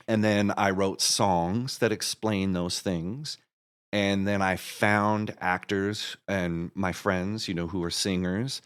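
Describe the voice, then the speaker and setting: A man's voice: fairly low pitch, fairly bright tone. A man being interviewed as a guest, casually explaining his creative project in a rambling way, thinking aloud and restarting his sentences. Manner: rambling, thinks aloud with repeated false starts. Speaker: guest, writer and director of an audio musical.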